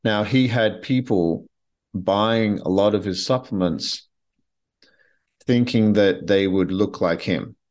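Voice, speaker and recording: A man's voice: on the low side, slow pace, a little mellow. A man hosting a podcast, talking bluntly and with strong opinions in a casual monologue, often restarting his sentences. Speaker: podcast host.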